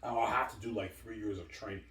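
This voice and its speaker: Guy in his 40s rambling on and on, kinda low voice, stumbles a lot, sounds far off in an echoey room. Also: fairly even intonation, fast, fairly bright tone. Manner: repetitive, stumbling, answers his own questions. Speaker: video host. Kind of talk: rambling monologue.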